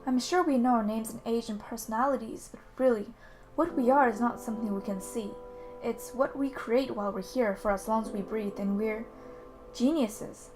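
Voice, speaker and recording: A young woman in her late teens performs spoken word in a fairly high voice. She delivers her lines earnestly, with rhythmic emphasis, while music plays clearly behind her. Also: moderate pace, a little mellow. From Canada. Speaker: student slam poet. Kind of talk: performed slam poetry recitation. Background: background music.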